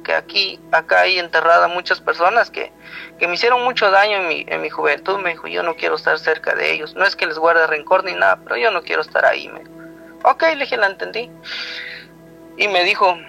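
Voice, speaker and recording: High-voiced Guatemalan man recounting personal memories. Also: fairly quick, vocal fry, bright, forceful tone, poor audio. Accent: Guatemalan Spanish. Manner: rambling, retells dialogue with frequent "he told me" tags. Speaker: guest telling a personal story. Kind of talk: personal storytelling in conversation. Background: music.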